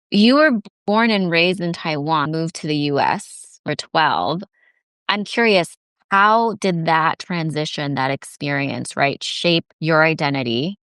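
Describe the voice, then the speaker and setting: A woman's voice: low voice, fairly bright tone, smooth, dry recording, clean studio sound. A woman hosting a podcast interview, encouraging her guest with short affirmations and eager follow-up questions. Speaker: podcast host. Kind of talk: casual interview chat.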